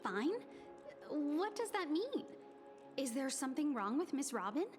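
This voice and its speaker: A courteous young hotel receptionist in a game. She explains procedures in formal, polished phrasing, and her voice sits high and light. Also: sing-song, a little slow, over music. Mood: puzzled.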